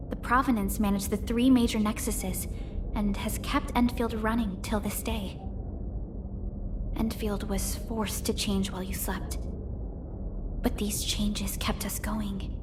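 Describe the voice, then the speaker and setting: An actress playing a composed, earnest young supervisor of a sci-fi company in a video game, speaking formally and articulately in measured phrases. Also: female voice, mid-range voice, steady tone, over music, lightly acted. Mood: measured, solemn. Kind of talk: scripted video game character lines.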